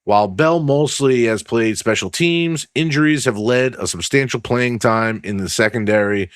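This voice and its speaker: A man hosting a casual sports podcast, rambling and swearing as he reads news aloud with his own asides, his voice going hoarse. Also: mid-range voice, moderate pace, no echo, clean studio sound. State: losing his voice. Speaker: podcast host.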